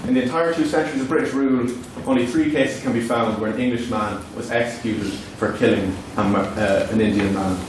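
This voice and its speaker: A man's voice: mid-range voice, steady tone, a little fast, hardly any pauses. Male Irish debater, native Irish speaker, declamatory formal delivery; distant mic, heavy room echo. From Ireland. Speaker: student debater giving a maiden speech. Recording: echoey hall from far off, faint noise.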